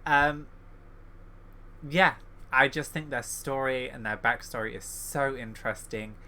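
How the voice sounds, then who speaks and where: A man's voice: mid-range voice, a little slow, pushed and bright. A man giving casual, enthusiastic let's-play commentary as a gaming YouTuber.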